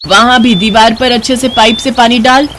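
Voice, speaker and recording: A woman's voice: on the high side, steady tone, moderate pace, background noise, pitched above the performer's own voice, close to an everyday voice. A woman voicing a suspicious, scolding older mother-in-law from a village in a moral story cartoon. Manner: scolding, blunt.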